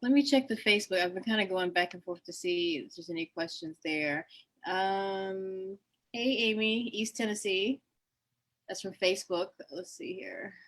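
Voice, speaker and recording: A woman hosting a relaxed online author chat. She asks friendly questions and keeps the talk moving. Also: mid-range voice, normal speed. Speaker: host. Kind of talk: casual video chat.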